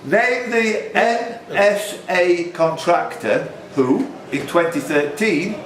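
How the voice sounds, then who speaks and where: Male quiz host, high lively voice, slow delivery, jokey banter, echoey noisy room. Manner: jokey, teasing contestants. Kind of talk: live pub quiz hosting, reading questions with banter. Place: pub, indoors.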